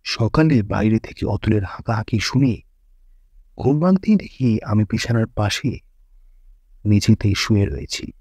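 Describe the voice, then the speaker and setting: A man's voice: fairly low pitch, animated, a little slow, pauses often, darkish tone, slightly rough, no echo. A man reading a horror story as dramatic scripted narration.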